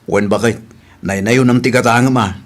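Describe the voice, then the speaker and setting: A man's voice: mid-range voice, steady tone, moderate pace, natural voice. A man playing a humble, good-natured village husband and father in an Ilocano comedy radio drama, with an Ilocano Filipino accent. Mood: apologetic, resigned.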